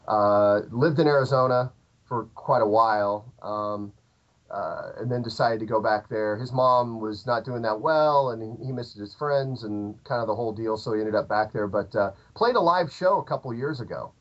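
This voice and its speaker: Man, 30s, southern Illinois accent, meandering chat, mid pitch, lo-fi recording. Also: normal speed. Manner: rambling, lots of fillers and restarts. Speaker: podcast guest, musician. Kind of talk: casual interview chat. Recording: dull lo-fi podcast audio.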